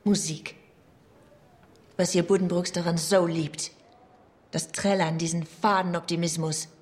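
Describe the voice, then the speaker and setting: An actress playing a cool, scornful wife in a radio drama. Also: female voice, lowish voice, fairly even intonation, a little slow, darkish tone, natural voice. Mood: disdainful. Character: cool, disdainful musical wife. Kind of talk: German radio drama acting.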